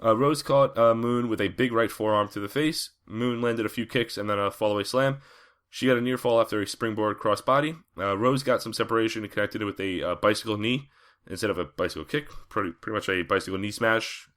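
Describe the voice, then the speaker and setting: Deep-voiced, slightly raspy man with a cold. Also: fairly quick, a bit bright. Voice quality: raspy, scratchy throat. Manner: conversational with frequent fillers. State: coming down with a cold. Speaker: podcast host. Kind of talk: casual spoken recap.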